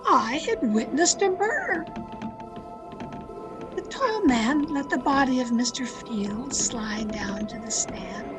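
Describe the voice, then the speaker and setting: A woman's voice: fairly high pitch, lively intonation, slow pace. A woman playing a thrill-seeking young woman who narrates her murder tale with dramatic, breathless intensity in old radio suspense style. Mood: excited, suspenseful. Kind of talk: 1940s radio suspense acting, scripted first-person narration. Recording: video-call radio play with music underneath. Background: dramatic music.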